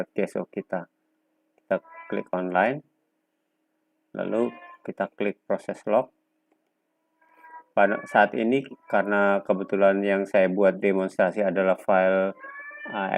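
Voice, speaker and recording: Low-voiced man giving a tutorial. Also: gravelly. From Indonesia. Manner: instructional, halting, pausing between short phrases. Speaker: software tutorial presenter. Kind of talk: unscripted step-by-step screen demonstration.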